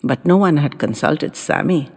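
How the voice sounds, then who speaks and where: A woman's voice: low-pitched, normal speed, creaky, darkish tone, slightly rough. A woman reading a story as scripted audiobook narration, in a tender, dramatic storytelling delivery. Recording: long reverb with background music. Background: music.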